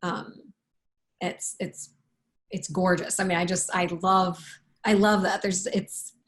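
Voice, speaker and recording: A woman speaking as an author in a casual interview, thoughtful and halting, often restarting and rewording her sentences. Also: lowish voice, flat delivery. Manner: halting, thoughtful, with repeated self-corrections. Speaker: picture book author being interviewed.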